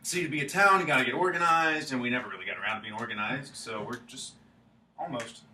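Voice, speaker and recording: A man acting an awkward, gentle small-town man in a staged play reading, plain-spoken, halting and apologetic. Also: medium pitch. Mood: matter-of-fact. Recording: roomy stage reading, distant mic, some noise.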